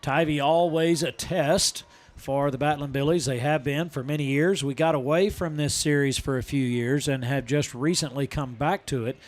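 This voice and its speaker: A man calling live high school football, his voice slightly raspy as it recovers from being lost. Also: fairly even intonation, moderate pace. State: voice recovering after losing it. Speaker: football play-by-play commentator. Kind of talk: live sports commentary.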